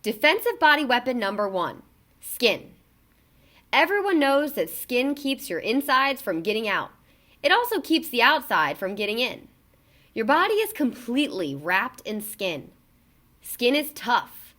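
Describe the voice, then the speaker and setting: A woman reading a children's picture book aloud in an expressive, emphatic storytelling delivery. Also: a little high, unhurried.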